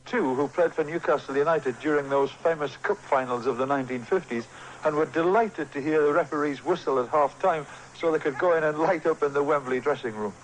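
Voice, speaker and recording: A man's voice: on the high side, a little fast, slight background noise, rough recording. A man reading a scripted sports feature on the radio.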